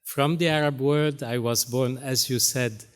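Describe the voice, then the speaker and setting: A man from Syria, raised in Lebanon, with Arabic as his first language, giving a keynote speech as a Jesuit priest, pausing often with many fillers. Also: medium pitch, steady tone, clear, resonant tone. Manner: halting, many pauses and fillers. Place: university hall stage.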